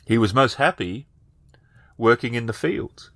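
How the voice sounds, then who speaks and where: A man voicing a plainspoken village man in a feudal fantasy Japan tabletop game, speaking in a measured, formal, halting way. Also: mid-range voice, lively intonation, creaky, fairly bright tone, no echo. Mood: somber, reflective.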